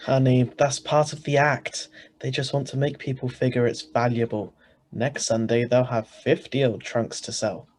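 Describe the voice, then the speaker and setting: A man acts out a skeptical husband in a radio suspense play, with a mid-pitched voice. He is wry and sardonic, narrating like someone whose patience is wearing thin. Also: fairly even intonation, normal speed, a little mellow, slight background noise, lightly acted. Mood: exasperated. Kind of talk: radio drama script reading. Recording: live video-call recording.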